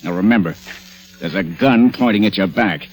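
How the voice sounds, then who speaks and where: An actor playing a menacing villain who can put on a polite front. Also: male voice, deep voice, moderate pace, faint music behind, natural voice, rough recording. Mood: cold, threatening. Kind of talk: 1940s radio acting.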